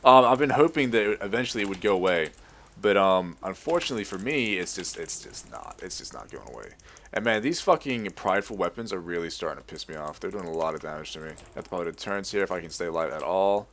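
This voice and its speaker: A young man with a low voice and an American accent, talking over a video game at his computer with keyboard clicks around him. He rambles, restarts his sentences and swears casually as he goes. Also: expressive, a bit bright, slight background noise. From Australia. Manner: rambling, profane, halting restarts. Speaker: gaming YouTuber. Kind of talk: casual commentary over gameplay.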